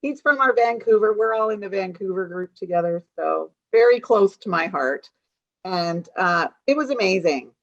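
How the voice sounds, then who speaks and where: A woman's voice: medium pitch, expressive, moderate pace, highs cut off. A woman from a support group gushing with enthusiastic thanks on a video call.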